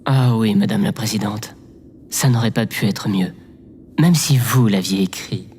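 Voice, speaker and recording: A man's voice: on the low side, unhurried, a little mellow, lightly acted. A man playing a timid, devoted and awkward presidential assistant in an audio drama, deferential and easily flustered, prone to stammering. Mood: eager, deferential. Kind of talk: scripted audio drama acting. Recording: long reverb, clear background noise.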